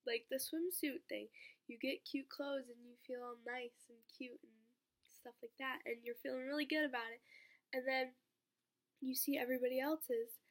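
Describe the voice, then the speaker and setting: Teen girl vlogger, under the weather, gravelly edge, chatty and rambling, slightly high. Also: fairly even intonation, normal speed. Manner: rambling, lots of "like" and "um". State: sick, voice sounds off. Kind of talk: casual chat to camera.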